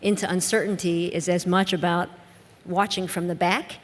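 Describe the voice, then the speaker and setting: A woman with a Midwestern American accent, an educator answering on a discussion panel in a measured, reflective way with frequent restarts. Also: on the low side, level tone. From United States. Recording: long reverb of a conference hall.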